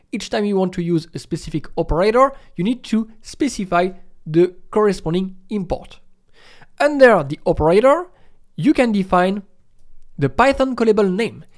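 A man with a high-pitched voice presents a step-by-step software tutorial. His intonation moves up and down a lot as he explains each step in an instructional manner.

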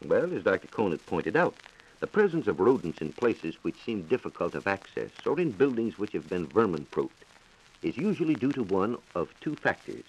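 An American man explaining science in a measured, formal way on a 1940s radio program. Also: fairly low pitch, sing-song, breathy edge, rough recording. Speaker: science reporter and radio co-host. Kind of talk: 1940s radio science talk, answering questions. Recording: 1940s radio transcription disc.

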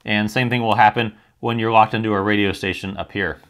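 A man's voice: fairly low pitch, steady tone, a little fast, a bit bright. A man reviewing and demonstrating a product in a casual, candid, conversational way with dry humour.

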